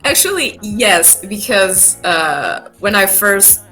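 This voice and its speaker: Brief: non-native English woman, unhurried, halting phrasing, faint music behind. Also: mid-range voice, animated, sharp tone. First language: not English. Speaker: music producer guest. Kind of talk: casual interview chat.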